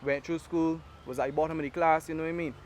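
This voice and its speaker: Barbadian man, young adult, quick and animated, slightly high voice, faint street noise behind. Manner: animated storytelling in Caribbean dialect. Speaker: award-winning activist guest. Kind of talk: casual interview talk.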